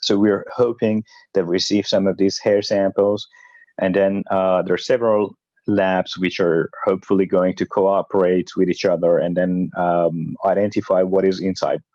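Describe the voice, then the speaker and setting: A man researcher speaking carefully on an online panel over a video call, pausing often with fillers. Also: deep voice, little pitch movement, a little mellow, no echo. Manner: careful, hesitant, many fillers. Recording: dull lo-fi audio.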